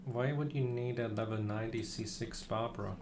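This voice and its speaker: A man livestreaming a game, chatting casually about strategy in a hesitant, halting way as he thinks aloud. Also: mid-range voice, fairly even intonation, noisy background.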